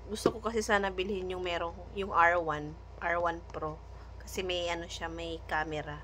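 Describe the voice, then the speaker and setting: A woman's voice: on the low side, steady tone, normal speed, sharp tone, slight background noise. A Filipino woman chatting casually in an unboxing vlog, thinking aloud and mixing in English words. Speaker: vlogger.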